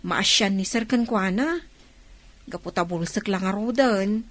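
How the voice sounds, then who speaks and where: A woman's voice: mid-range voice, measured pace, lightly acted. A woman playing a kindly, chatty farmhouse housekeeper in an audio drama. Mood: friendly, chatty.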